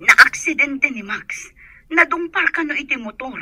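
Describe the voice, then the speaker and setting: An actress playing a brisk, bossy madam in an Ilocano radio drama, speaking with an Ilocano accent. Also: female voice, high voice, expressive, pushed and bright, no room sound, full character voice. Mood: urgent, instructing.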